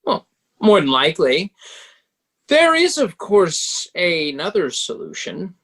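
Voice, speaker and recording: A man playing a pragmatic, smooth-talking banker who coolly advises and persuades, in a table read of a stage musical. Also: high-pitched, wildly swooping pitch, takes it slowly, a bit bright, heavily acted. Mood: courteous, measured.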